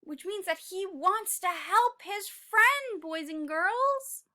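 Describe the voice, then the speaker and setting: A woman's voice: very high-pitched, expressive, slow, bright, forceful tone. A woman reading aloud to young children as a teacher would, expressively, stopping to prompt them with questions.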